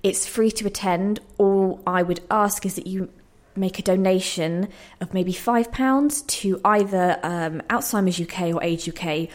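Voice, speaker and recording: A woman's voice: mid-range voice, unhurried, few pauses. A woman speaking earnestly and reflectively as a playwright being interviewed in conversation on the radio.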